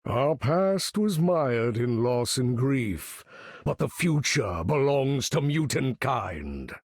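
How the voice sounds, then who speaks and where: A male actor playing a proud aging mutant leader in a video game, with a gravelly, husky voice and a grand, formal, commanding manner. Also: medium pitch, sing-song, slow, dry recording, clean studio sound, full character voice. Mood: resolute. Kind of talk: video game character voice acting.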